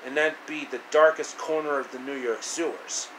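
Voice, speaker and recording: Casual man storyteller, slightly high voice, background hum. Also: moderate pace, low-quality recording. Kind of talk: casual retelling of a fairy-tale parody. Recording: steady air-conditioning noise.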